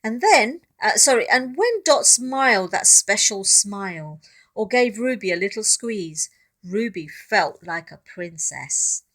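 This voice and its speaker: A woman reading a picture book aloud expressively, pausing to speak directly to child listeners. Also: medium pitch, fairly bright tone.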